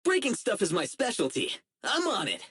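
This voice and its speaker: A man's voice: sits high, wildly swooping pitch, normal speed, a bit bright, slightly rough, no echo, clean studio sound, full character voice. An actor playing an earnest, righteous young male public security officer in a video game, speaking emphatically. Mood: eager, commanding. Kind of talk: video game character voice acting.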